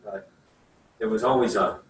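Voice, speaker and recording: A man's voice: fairly low pitch, moderate pace. A man in his sixties, an attorney, talking casually at a career session in a chatty, folksy way, rambling with many fillers. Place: room at a career services office. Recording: echoey room, far from the mic, faint hiss.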